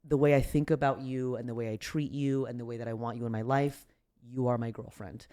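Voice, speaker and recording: Deep-voiced woman telling a story. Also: a little fast. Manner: relaxed, low-key, acts out quotes with raised voice. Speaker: comedian guest. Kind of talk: casual podcast storytelling.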